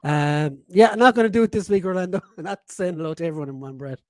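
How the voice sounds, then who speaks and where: A man's voice: high-pitched, fast, darkish tone, no room sound, studio quality. A man in his forties hosting a casual live-stream podcast, reading chat messages aloud, laughing often and swearing freely. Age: 40s.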